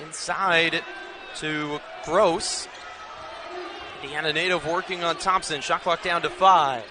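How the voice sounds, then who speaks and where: A man's voice: a little high, fairly even intonation, slow pace. An American man doing live basketball play-by-play as an announcer, with an emphatic, projected broadcast delivery. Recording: loud arena crowd noise behind. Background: crowd and basketball bounce.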